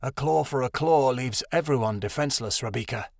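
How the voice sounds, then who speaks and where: A man voicing a wise, downhearted male dragon in a storybook, measured and muttering. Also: medium pitch, vocal fry, dry recording, studio quality, lightly acted. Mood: grave.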